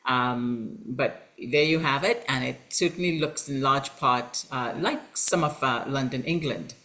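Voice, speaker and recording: A woman's voice: very deep voice, a little slow, pushed and bright. Upbeat woman hosting a webinar. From Trinidad and Tobago. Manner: upbeat and welcoming, with frequent fillers. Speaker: college recruitment representative and host. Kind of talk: online information session presentation. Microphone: video call.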